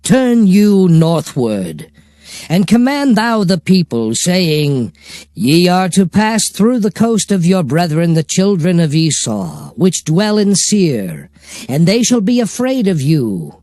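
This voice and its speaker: A man's voice: sits high, very animated, slow, dark tone, no room sound, studio quality, full character voice. A man playing a solemn prophet who recounts God's commands in a dramatized scripture reading, with emphatic oratory. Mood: grave, commanding. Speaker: Bible narrator.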